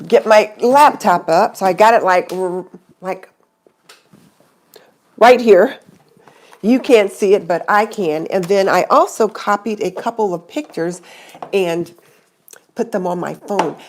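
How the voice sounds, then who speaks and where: Middle-aged woman host, stuffy-nosed from a cold, loose chatty live-stream delivery, mid-range pitch. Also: darkish tone, a little hiss or hum. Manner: chatty, greets viewers by name. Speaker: YouTube channel host. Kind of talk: casual live stream chat.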